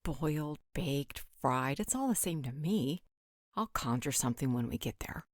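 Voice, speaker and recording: A woman's voice: low-pitched, lively intonation, moderate pace, dry recording. A woman playing a blunt, flippant supernatural partner in a scripted roleplay audio drama, dry and offhand.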